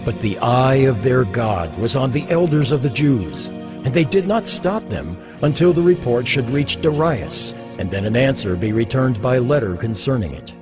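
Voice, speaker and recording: A man's voice: medium pitch, animated, normal speed. A man narrating scripture from a script as part of a dramatized reading. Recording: music behind the voice.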